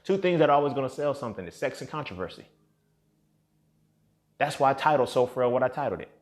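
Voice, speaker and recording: A man's voice: mid-range voice, expressive, fast. A thirty-year-old man giving a casual, unscripted podcast monologue in a blunt, slangy, heavily profane and emphatic way. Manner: emphatic, with rhetorical questions and check-ins. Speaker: podcast host and entrepreneur.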